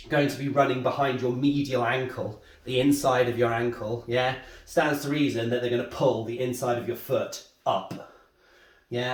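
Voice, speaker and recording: A man with a head cold, teaching anatomy at a board and often checking in with a questioning "yeah?". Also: medium pitch. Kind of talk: whiteboard anatomy lesson. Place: room with a drawing board. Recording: echoey room.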